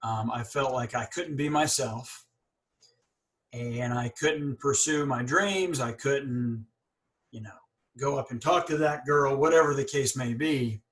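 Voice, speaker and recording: A man's voice: medium pitch, measured pace, fairly bright tone. A man in his forties hosting a podcast, talking candidly in a casual interview with frequent stuttering blocks and repeated syllables. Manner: candid and earnest. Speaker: podcast host.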